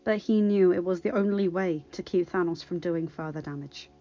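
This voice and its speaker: A woman reading a story aloud as a podfic narrator, dramatic and with emphatic pauses. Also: fairly low pitch, warm tone, lo-fi sound.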